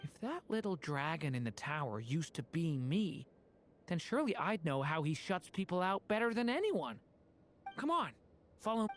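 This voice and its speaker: A woman's voice: on the low side, big pitch swings, moderate pace, a little hiss or hum. A woman voicing a resolute, thoughtful young dragon boy adventurer in a video game. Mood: earnest, determined. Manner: thoughtful, earnest.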